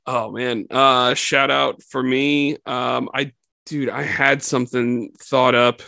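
Male co-host, gravelly, dry close recording, loose conversational delivery. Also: medium pitch, takes it slowly, no echo. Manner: relaxed, laughs often, many fillers. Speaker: podcast co-host. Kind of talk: casual sports chat.

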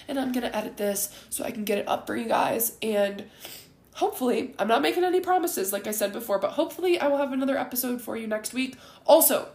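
Woman, 20s, podcast host: raspy, gravelly, rambling and candid, recorded in an echoey room. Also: fairly high pitch, a little mellow. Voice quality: raspy and groggy. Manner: candid, rambling, with frequent ums and likes. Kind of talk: casual solo ramble.

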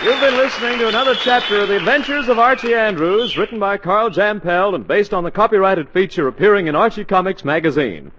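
A man's voice: high voice, quick pace, hardly any pauses, a crowd in the background, noisy background. An American man announcing in a polished, formal scripted style on a 1940s radio broadcast. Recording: muffled 1940s radio broadcast, faint music.

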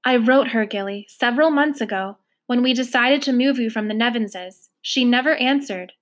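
A woman's voice: a little high, moderate pace, lightly acted. A woman voicing a firm, businesslike female social caseworker in a storybook reading.

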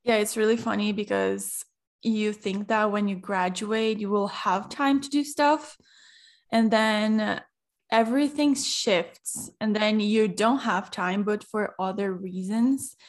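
A woman's voice: mid-range voice, steady tone, takes it slowly. A woman hosting a podcast, talking casually and chatting with her guest. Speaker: podcast host.

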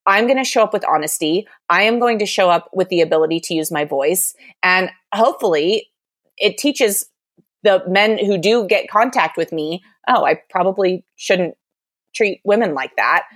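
Animated woman telling a dating story. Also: medium pitch, normal speed, no echo, clean studio sound. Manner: animated, exasperated storytelling with lots of "like". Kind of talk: candid storytelling chat.

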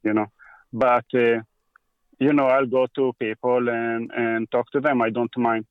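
A man from Israel speaking English with an Israeli accent in a casual interview, talking slowly with many pauses and restarts. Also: medium pitch, level tone, clear, resonant tone, dry recording, narrow, tinny audio. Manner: halting, with frequent pauses and restarts. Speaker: guest. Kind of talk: casual conversation.

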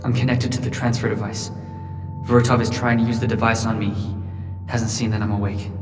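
A man's voice: on the low side, little pitch movement, a little fast, close to an everyday voice. An actor playing a defiant, confused teenage boy in a sci-fi audio drama, earnest and frustrated. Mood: uneasy. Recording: echoey, distant, music underneath.

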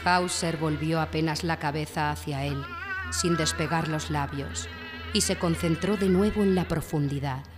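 A man giving measured, literary dramatic narration for a radio play. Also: on the high side, music in the background. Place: live stage in a library before an audience.

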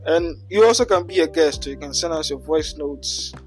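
A man's voice: fairly high pitch, moderate pace, soft background music. A young man hosting a podcast in an easygoing way, using local slang. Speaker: podcast host. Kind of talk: casual chat.

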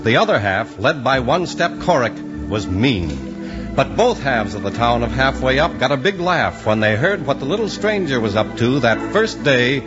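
An American man delivering scripted narration as the announcer of a 1950s radio western. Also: medium pitch. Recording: muffled old radio recording with music. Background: organ music.

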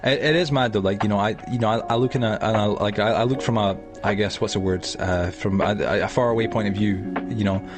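A man's voice: fairly low pitch, fairly even intonation, quick pace, hardly any pauses, music in the background. A Scottish man from Edinburgh hosting a casual podcast chat over an online call, rambling with lots of restarts and fillers. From Scotland. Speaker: podcast host.

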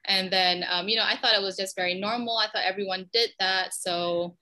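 A young Malaysian woman in her twenties, answering as a podcast guest in a chatty, easygoing way. Her voice is bright and clear, at an ordinary pitch, and she often stops to restart a sentence. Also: brisk, rough recording. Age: 20s. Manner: chatty and lively, with frequent ums and restarts. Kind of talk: conversational interview answers.

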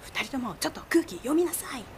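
An actress in a radio drama playing a brisk, kindly, bossy island innkeeper with a Mikawa accent from Aichi. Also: female voice, high-pitched, sing-song, slight background noise. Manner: brisk, homely, nudging. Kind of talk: Japanese radio drama acting.

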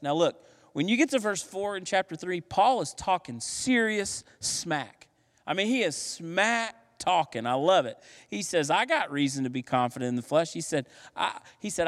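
A man preaching a sermon as pastor, lively and playful, with slangy asides. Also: fairly high pitch, big-room sound.

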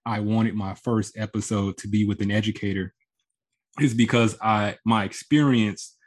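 Affirming male podcast host, lowish voice. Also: steady tone, normal speed. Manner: affirming, with frequent restarts. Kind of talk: casual interview conversation.

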